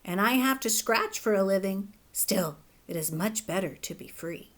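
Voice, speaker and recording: A woman's voice: medium pitch, expressive, normal speed, lightly acted. A woman voicing a thin, hungry dove in a children's fable, speaking in an earnest, plaintive way. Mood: resolute.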